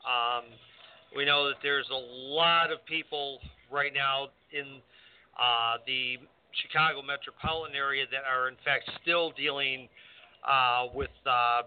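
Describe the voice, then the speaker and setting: A man's voice: mid-range voice, fairly even intonation, unhurried, clear, resonant tone. A man co-hosting a wrestling talk show, chatting casually with lots of fillers and restarts. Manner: hesitant, many fillers. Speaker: co-host, wrestling referee. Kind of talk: casual radio chat. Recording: tinny phone-like audio.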